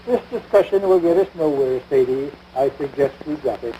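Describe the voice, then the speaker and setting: A man's voice: fairly high pitch, normal speed, warm tone, natural voice. An actor playing a pompous, long-suffering American husband and father in a domestic comedy, speaking formally with dry sarcasm. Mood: aloof, irritated. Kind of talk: domestic radio-style situation comedy. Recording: noisy vintage broadcast recording.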